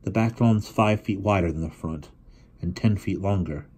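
Man reading a quiet wry boy, low husky voice, understated. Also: normal speed. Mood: dry. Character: quiet boy, dry-humoured younger brother.

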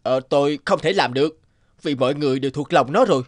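A man's voice: a little high, fast talker, no echo, studio quality, natural voice. A man voicing a timid, humble village poet in a cartoon, hesitant and polite. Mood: earnest. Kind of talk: cartoon dubbing.

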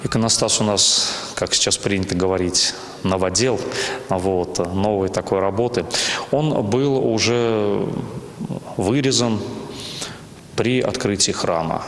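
Deep-voiced priest in an echoing church. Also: male voice, measured pace. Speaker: parish priest, church rector. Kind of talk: on-camera interview, storytelling. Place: inside a small church. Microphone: handheld interview microphone. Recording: echoey, reverberant church interior.

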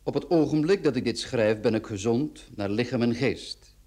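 A man playing a brisk, fussy notary in an old Dutch radio play, speaking formally and deliberately. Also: mid-range voice, darkish tone, close to an everyday voice. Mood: formal, solemn. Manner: formal, deliberate, shushing interruptions. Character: brisk, fussy male notary reading out a will.

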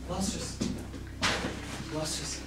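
A young man playing a devoted, anguished lover in an amateur stage play, recorded by a distant mic in a theatre. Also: very slow. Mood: tender, urgent. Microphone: distant camera microphone. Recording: echoey noisy theatre, distant camera mic.

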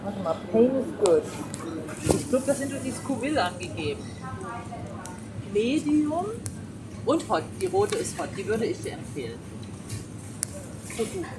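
A woman's voice: fairly high pitch, sing-song, unhurried, long pauses, distant mic. A woman shopper chatting casually while she shops in a busy supermarket. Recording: roomy, very noisy supermarket ambience. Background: loud store noise and chatter.

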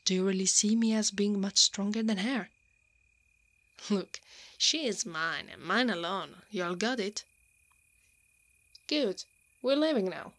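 A woman's voice: mid-range voice, a little slow, pauses often, a bit bright. Woman acting a blustering tsundere lady knight. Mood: firm, possessive. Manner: blustering, stammering when flustered. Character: brave tsundere female knight, protective, dominant, flustered in love. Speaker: roleplay audio voice actor. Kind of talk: ASMR roleplay acting.

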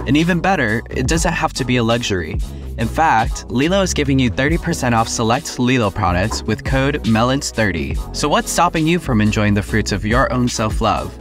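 A man reading a sponsor message in an upbeat, salesy way. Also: medium pitch. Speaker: ad presenter. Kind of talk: sponsor read. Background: music bed.

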